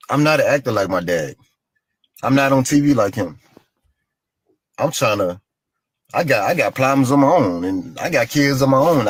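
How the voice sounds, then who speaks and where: A man's voice: medium pitch, no echo. A man in a played clip telling a plain, aggrieved personal story and repeating his points.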